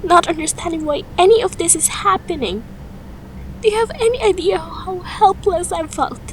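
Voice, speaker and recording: High-pitched woman acting as teenage girl, overwhelmed, protesting, emotional, audio drama, clearly noisy recording. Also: expressive, moderate pace. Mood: upset, defiant. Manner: emotional, pleading.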